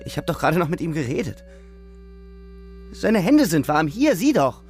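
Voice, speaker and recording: An actor playing a distraught young soldier in a radio drama, earnest and disbelieving. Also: male voice, on the high side, sing-song, normal speed, breathy edge, faint music behind, heavily acted. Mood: disbelieving, desperate. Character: distraught young soldier refusing to accept his friend's death.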